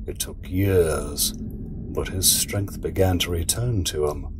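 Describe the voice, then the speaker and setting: A man playing a sinister villain in an audio drama, recounting dark history in a deliberate, theatrical way. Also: deep voice. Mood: ominous. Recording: clearly noisy background ambience.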